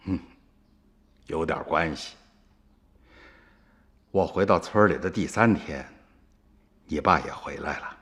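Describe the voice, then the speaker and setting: A man's voice: extremely low pitch, takes it slowly, long pauses, fairly bright tone, full character voice. An actor playing an old farmer grandfather who recounts his past as a reflective storyteller in a radio drama. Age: older. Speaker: narrator telling the story in recollection.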